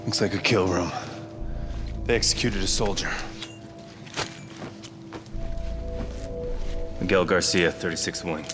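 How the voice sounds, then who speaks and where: An actor playing a commanding, no-nonsense covert operative with terse delivery in a video game. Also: male voice, deep voice, normal speed, over music, lightly acted. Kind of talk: dramatic video game acting.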